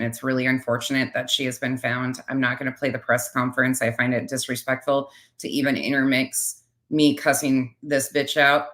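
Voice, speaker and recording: A woman's voice: very deep voice, sharp tone. A woman giving casual reaction commentary as a channel host, blunt and sarcastic, with swearing.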